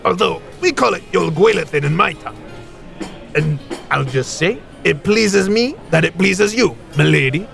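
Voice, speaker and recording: Cheeky boisterous hunter, very creaky voice, bright edge, folksy teasing. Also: male voice, sits high, lively intonation, moderate pace, faint music behind, full character voice. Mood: cheeky, proud. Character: jovial, cheeky, chatty hunter and ale drinker. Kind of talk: fantasy audio drama acting.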